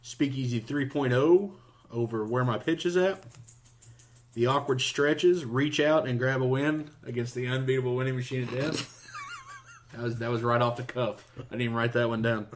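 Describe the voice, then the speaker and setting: A man's voice: medium pitch, fairly even intonation, moderate pace. A man in a small home studio, talking into headphones and a mic as he reads out game results. He jokes his way through the list and laughs often. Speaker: podcast co-host. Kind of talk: casual chat reading game results.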